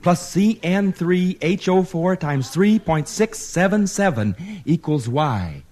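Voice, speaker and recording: A daffy, wealthy eccentric in 1940s radio comedy style. He speaks high in a man's range with lively swings of pitch. His delivery is dotty and rambling, and he repeats himself. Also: slow, exaggerated voice. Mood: chuckling, eager.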